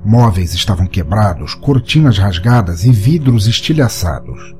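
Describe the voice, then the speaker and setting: A man narrating a scripted short story for a podcast in a measured, dramatic storytelling way. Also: on the low side, very animated, darkish tone. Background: music under the voice.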